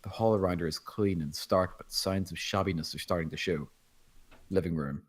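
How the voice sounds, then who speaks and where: A man's voice: sits low, normal speed. A man reading stage directions as narrator at a screenplay table read.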